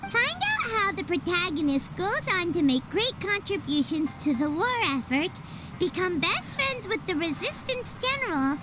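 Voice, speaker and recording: A woman voicing a tiny, excitable fairy companion in a video game, bubbly and eager, with music behind her. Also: extremely high pitch, normal speed, noisy background. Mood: eager storytelling. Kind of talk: video game character acting. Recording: background music over the voice.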